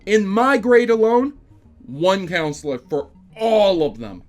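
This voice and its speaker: A man's voice: sits high, lively intonation, takes it slowly, faint music behind. A young man hosting video game news, delivering opinionated commentary in an emphatic, sarcastic, ranting manner.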